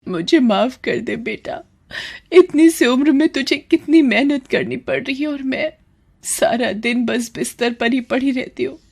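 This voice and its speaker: A woman acts an ailing, sorrowful mother in a storybook tale. She pleads plaintively in a raised, mellow voice. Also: sits high, unhurried, creaky, slightly rough, voice pushed up, heavily acted. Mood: guilty, sorrowful. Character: sick, bedridden, sorrowful mother.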